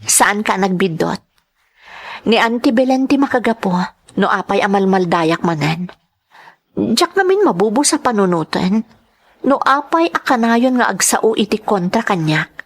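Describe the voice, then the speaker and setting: Casting: gentle, troubled young schoolteacher and dutiful daughter, Filipino accent, emotive, melodically varied radio drama delivery. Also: female voice, medium pitch, expressive, measured pace. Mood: troubled, confiding. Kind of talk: Ilocano radio drama acting.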